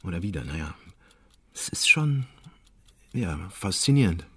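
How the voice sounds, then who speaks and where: A cynical male investigator for a radio drama. His voice is husky and swings widely in pitch. He is blunt and insistent, repeating himself when worked up. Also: big pitch swings, a little slow, sharp tone, full character voice. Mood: brooding, insistent. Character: agitated, cynical male state security investigator in therapy. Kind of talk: naturalistic German radio drama acting.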